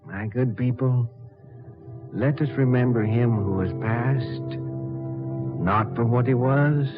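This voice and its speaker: A man's voice: medium pitch, slow pace, natural voice. A man playing a solemn preacher delivering a eulogy, grave and oratorical, in 1940s radio drama style. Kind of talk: 1940s radio horror acting. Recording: dull 1940s radio recording. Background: loud music.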